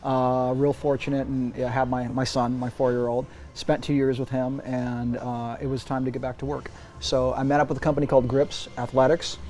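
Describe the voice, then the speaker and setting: A man in his forties giving casual interview answers, emphatic and animated, often restarting his sentences. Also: medium pitch, normal speed. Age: 40s. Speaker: interview guest, brand executive.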